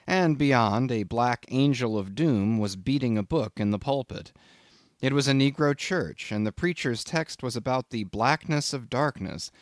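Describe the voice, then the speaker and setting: A man reading a literary audiobook as scripted narration, in an expressive, measured storytelling manner. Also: mid-range voice, no echo, studio quality.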